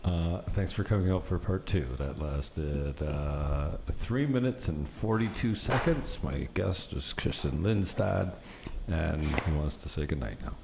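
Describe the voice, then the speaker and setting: Male host, very deep and relaxed, off-the-cuff chat, slight open-window background noise. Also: a little slow, vocal fry, few pauses. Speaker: podcast host. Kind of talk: casual unscripted chat.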